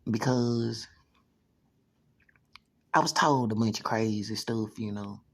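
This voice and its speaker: A man in his thirties talking in a rambling personal monologue, filling every pause with "you know.". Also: lowish voice, dry recording. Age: 30s.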